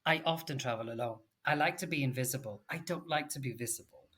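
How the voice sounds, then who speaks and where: A man in his forties from Wales, with a Welsh English accent, chatting casually as an English teacher, animated and full of fillers. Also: mid-range voice, fast talker. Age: 40s. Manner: chatty and animated, lots of fillers and self-corrections. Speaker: English teacher and podcast guest. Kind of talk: casual conversational chat.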